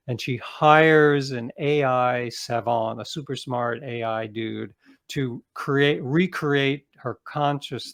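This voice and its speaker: A man's voice: mid-range voice, fairly bright tone. A man speaking as a guest author in a conversational interview, explaining things reflectively with examples.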